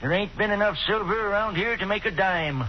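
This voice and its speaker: A man's voice: high-pitched, fairly quick, close to an everyday voice. An actor playing a curt, sardonic old assayer in a 1940s radio drama, folksy in his talk. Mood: gruff. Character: gruff old male small-town mine assayer. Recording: muffled old radio broadcast with faint hiss.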